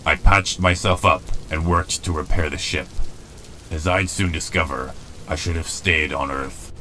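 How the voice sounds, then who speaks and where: An actor playing a weary, stoic robot commander, solemn and commanding, in a dramatic comic dub. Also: male voice, extremely low pitch, steady tone, a little slow, bright, forceful tone, a little hiss or hum, full character voice, poor audio. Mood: somber, weary. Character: weary, stoic male robot commander, grave and self-doubting.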